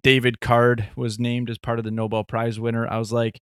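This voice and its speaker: A man's voice: mid-range voice, monotone, a little fast, smooth, no room sound, clean studio sound. Hesitant, rambling male co-host. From Canada. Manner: rambling, hesitant, filler-heavy. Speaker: podcast co-host. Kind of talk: casual podcast chat.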